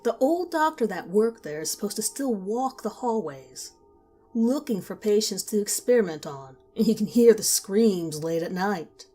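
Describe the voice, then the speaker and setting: A woman voicing a worried, kind middle-aged mother who speaks in a hushed, warning way. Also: mid-range voice, animated, normal speed, soft background music, lightly acted. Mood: ominous warning.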